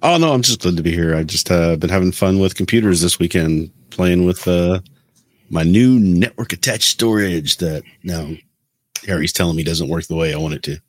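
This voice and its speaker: A man's voice: sits low, normal speed, no room sound. A man chatting casually about tech as a panelist on a show.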